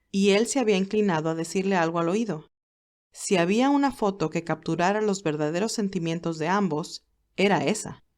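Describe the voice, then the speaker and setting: A woman's voice: lowish voice, a little mellow. A woman narrator reading a scripted story.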